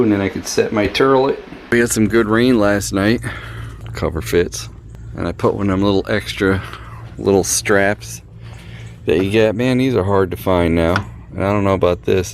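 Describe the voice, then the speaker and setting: A man's voice: deep voice, fairly even intonation, moderate pace, gravelly. A man giving casual, folksy vlog commentary on home repairs in short offhand remarks, recorded on a handheld camera. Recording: noisy handheld camera audio, background hum.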